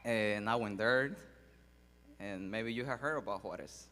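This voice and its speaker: A man who grew up in Mexico, telling his life story in a halting speech full of fillers and pauses. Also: fairly low pitch, sharp tone, big-room sound. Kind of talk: personal testimony speech. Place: large hall at a public event.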